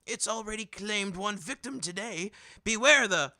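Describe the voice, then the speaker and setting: Guy doing a pushy old-timey market hawker for an audiobook, folksy patter, loud and swooping. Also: high-pitched, animated, moderate pace, dry recording, clean studio sound, voice pushed up, exaggerated voice. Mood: showy, wheedling. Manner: folksy, hawking showman patter. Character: whiskered balding merchant, brash wheedling huckster. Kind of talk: audiobook character voice.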